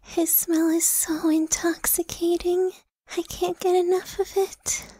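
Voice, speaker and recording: A woman playing a clingy, lovestruck young anime girlfriend in an ASMR roleplay, soft and intimate. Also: very high voice, flat delivery, a little slow, no room sound, poor audio. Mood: infatuated, flustered. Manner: soft, breathy, intimate delivery.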